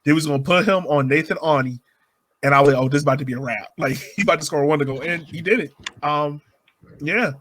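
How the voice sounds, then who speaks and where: A man hosting a sports podcast, chatting casually and colloquially about soccer in an animated, joking way. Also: a little high, fast, a little hiss or hum. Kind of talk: casual soccer game discussion.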